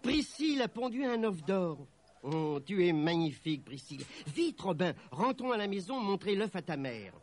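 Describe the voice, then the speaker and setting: A man's voice: fairly high pitch, lively intonation, moderate pace, hardly any pauses. A man voicing a sweet, eager young peasant girl with an affectionate manner in a storybook. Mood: excited.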